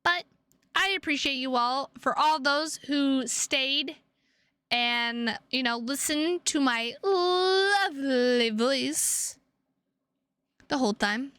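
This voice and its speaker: A tired woman chatting casually to her livestream viewers in a halting, rambling way with drawn-out words. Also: high-pitched, fairly bright tone, no room sound. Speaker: streamer.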